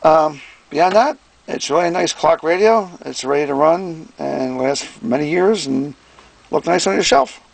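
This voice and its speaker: A man giving a casual hands-on product demonstration as presenter, plain-spoken and folksy, often clearing his throat. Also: fairly high pitch, lively intonation, measured pace. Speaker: presenter, radio restorer and seller. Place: indoor workbench.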